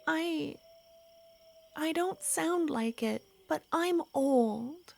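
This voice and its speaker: A woman's voice: high-pitched, very slow, gravelly, heavily acted. A woman playing a pleading ancient vampire maiden. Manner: soft, pleading, drifting into whispers. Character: ancient lovesick female vampire, pleading and shy. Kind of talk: ASMR roleplay.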